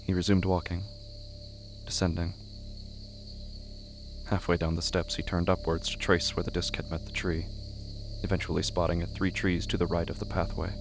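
A man with a very low voice narrates a horror story over prominent music. His delivery is scripted and deliberate, broken by long pauses, with a heavy creak in the tone. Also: little pitch movement, rough recording. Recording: music bed under the voice.

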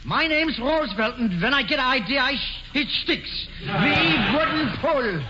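A man's voice: very high-pitched, expressive, unhurried. An actor playing a stubborn young colonial townsman who firmly refuses an order, in 1940s radio acting style. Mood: defiant. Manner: defiant, repeats himself firmly. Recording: dull lo-fi old radio broadcast with noise.

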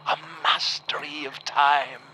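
An actor playing a feeble, sickly old farmer in a radio drama, whispering breathily and making grand, intense pronouncements. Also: male voice, medium pitch, level tone, slow pace, sharp tone, rough recording. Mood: fervent, eerie.

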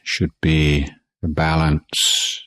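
A man teaching guided meditation in a calm, measured way, leaving long pauses between short phrases. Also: extremely low pitch, no echo. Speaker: Buddhist monk meditation teacher.

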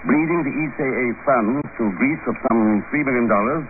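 An actor in a 1950s radio drama playing a shrewd, wry secret agent with an understated, controlled delivery. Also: male voice, mid-range voice, moderate pace, a little hiss or hum, close to an everyday voice. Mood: cool, confronting. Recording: tinny old radio recording, music behind.

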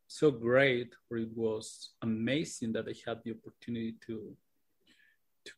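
A man's voice: medium pitch, steady tone, a little slow. Mexican man chatting with repeats and restarts. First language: Spanish. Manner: searching for words, repeats himself. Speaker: co-host. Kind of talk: casual podcast chat.

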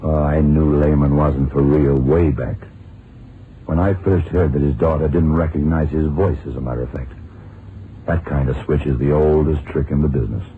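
Old blind sleuth for vintage radio thriller: extremely low voice, dry, unflappable, muffled hissy tape. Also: male voice, steady tone. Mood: dry, knowing. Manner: terse, dry, deliberate. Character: shrewd old blind male private detective, calm and unflappable. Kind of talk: 1970s radio drama acting.

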